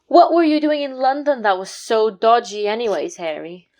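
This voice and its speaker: A woman's voice: on the high side, lively intonation, moderate pace, a little mellow, a little hiss or hum, natural voice. A woman playing a precocious, inquisitive boy detective who asks pointed questions. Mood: probing. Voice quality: inquisitive, theatrical.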